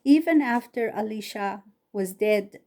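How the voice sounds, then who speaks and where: A woman for whom English is a second language, reading a Bible story aloud with careful, halting phrasing and pauses between phrases. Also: mid-range voice, lively intonation, darkish tone. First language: not English.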